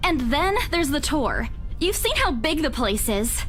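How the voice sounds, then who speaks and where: A woman's voice: high voice, very animated, unhurried, a bit bright, soft background music, heavily acted. An actress voicing a flustered, defensive young woman working in real estate, in a visual novel game.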